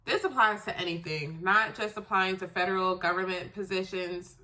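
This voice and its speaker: A woman's voice: medium pitch, normal speed, pushed and bright, low-quality recording. A congested woman with a stuffy, nasal voice from a cold, giving casual career tips in a chatty, emphatic way. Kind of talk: casual how-to advice video.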